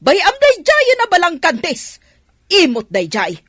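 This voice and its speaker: A woman playing a sharp-tongued, scheming old grandmother in a radio drama, with a Filipino accent. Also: extremely high pitch, wildly swooping pitch, slow, dry recording, studio quality, full character voice. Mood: scornful.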